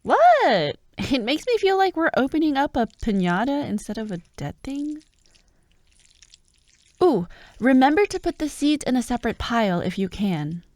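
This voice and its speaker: A woman playing a bubbly, bossy young childhood friend who loves Halloween, in an animated, teasing ASMR roleplay. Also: sits high, moderate pace, dry recording. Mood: playful. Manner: animated, expressive, teasing, with laughs and sighs. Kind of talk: ASMR roleplay, one-sided acted conversation.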